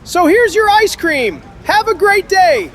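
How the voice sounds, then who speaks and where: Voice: very high-pitched, big pitch swings, unhurried, fairly bright tone, slight background noise, exaggerated voice. A performer voicing a cheery, overly polite ice cream vendor in an audio drama, with sing-song sales patter. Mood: chirpy, polite. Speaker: street ice cream seller.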